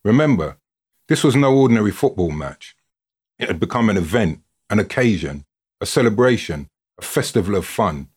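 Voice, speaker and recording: Male narrator, low and husky, expressive storyteller reading from a script with drama. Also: moderate pace. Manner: expressive, dramatic delivery with built-up lists. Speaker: reader, narrator of a written football story.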